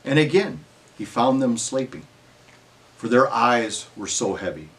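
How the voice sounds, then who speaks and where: A man narrates scripture as drama, measured yet expressive. His mid-pitched voice moves widely up and down and sits slightly back from the microphone. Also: recorded from a distance.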